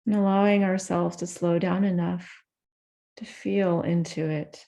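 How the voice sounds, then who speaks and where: A woman guides a meditation in a lowish, gravelly voice with a lot of creak. She takes her time, speaking very slowly in short, gentle phrases and leaving soft pauses between them. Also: steady tone.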